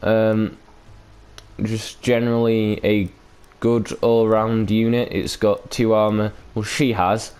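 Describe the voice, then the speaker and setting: A man's voice: on the low side, flat delivery, slow pace, a little mellow, clear, resonant tone. A man hosting a gaming video with casual, unscripted commentary, rambling and hesitant with loose, sweary language.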